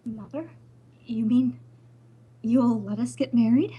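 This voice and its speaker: A woman plays a young heroine of a radio melodrama, torn and anguished. Her voice is high and husky, and she pleads in breathless phrases. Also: slow pace, lo-fi sound, exaggerated voice. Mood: urgent pleading. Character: anguished young half-Hawaiian daughter, torn by love. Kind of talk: old-time radio melodrama acting.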